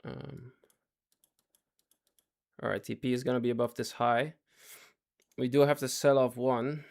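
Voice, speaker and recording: A man's voice: medium pitch, level tone, unhurried, creaky, darkish tone. Guy rambling through live trades to his chat, voice going, says stuff twice. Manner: talks in fits and starts, repeats himself, asks chat to follow. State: losing his voice. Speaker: day trading livestreamer. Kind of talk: live trading commentary to chat.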